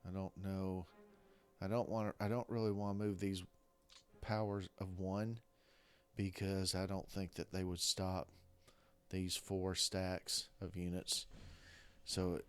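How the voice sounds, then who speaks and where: Hoarse, rough-voiced man with very low pitch. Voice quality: rough-sounding voice. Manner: rambling, hesitant, many restarts. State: hoarse from coughing. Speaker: strategy game video creator. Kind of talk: casual gameplay commentary.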